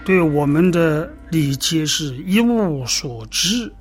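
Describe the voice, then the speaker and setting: Slow, haughty Chinese emperor. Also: male voice, on the high side, lively intonation. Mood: imperious, disdainful. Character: haughty male Chinese emperor issuing an edict. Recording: loud music behind the voice. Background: music.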